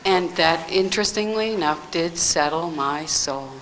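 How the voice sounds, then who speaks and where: Low-voiced woman reflecting aloud. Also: a little slow, slight background noise, rough recording. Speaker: audience member.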